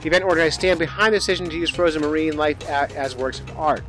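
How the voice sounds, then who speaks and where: A man with a slightly high voice hosts a casual podcast. He talks informally and thinks aloud, with a joking touch as he works through news items. Music is audible with his voice. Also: quick pace, fairly bright tone. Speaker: podcast host.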